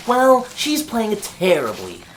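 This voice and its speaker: A woman playing a grumpy, sarcastic junk robot with deadpan insults and stuttering repeats in an audio drama. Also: medium pitch, a little slow, a little hiss or hum, rough recording. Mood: grumpy, contemptuous. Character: grumpy, sarcastic rusty junk robot who taunts humans. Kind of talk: scripted audio drama acting.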